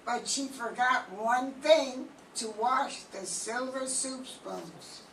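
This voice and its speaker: An older woman playing a calm, methodical police sergeant in a 1940s-style radio mystery, explaining deliberately. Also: a little high. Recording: echoey room from far off, faint noise.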